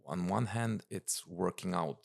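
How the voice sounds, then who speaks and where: Male interview guest, thirties, from Serbia: rumbling bass register, thick gravel, casual delivery. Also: a little slow. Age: 30s. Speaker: interview guest, breathwork and spiritual coach. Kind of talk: casual conversational storytelling.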